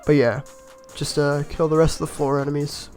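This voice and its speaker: A man with a somewhat high voice, a little raspy from a cold, talks through his gameplay in a loose, rambling way. He pokes fun at his own blunders as he goes, and faint game music plays behind him. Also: little pitch movement, moderate pace, darkish tone. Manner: easygoing, rambling, self-mocking with chuckles. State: slightly raspy from being sick. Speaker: gameplay commentator. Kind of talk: casual voice-over commentary on a game run.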